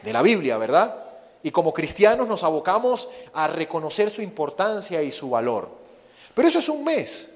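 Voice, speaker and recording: A man's voice: a little high, big pitch swings. Venezuelan man preaching in an echoing church. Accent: Venezuelan Spanish. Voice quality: fervent, emphatic, slows down to stress words. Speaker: missionary preacher. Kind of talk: sermon with opening prayer. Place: church sanctuary. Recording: long reverb of a church hall.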